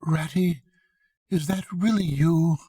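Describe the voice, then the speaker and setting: Tiny scared mole, high feeble voice, shaky and plaintive, done by a guy reading. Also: slow, dark tone, husky, no room sound, clean studio sound. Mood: timid. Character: small timid frightened male mole.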